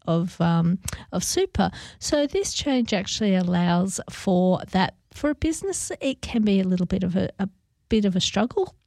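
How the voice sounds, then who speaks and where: A woman with an Australian accent hosting a community radio show, her voice still croaky as it comes back, talking casually with many fillers. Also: mid-range voice, dark tone, dry recording. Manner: chatty, many fillers and restarts. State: croaky, recovering voice. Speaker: radio host. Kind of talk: casual radio talk about money changes.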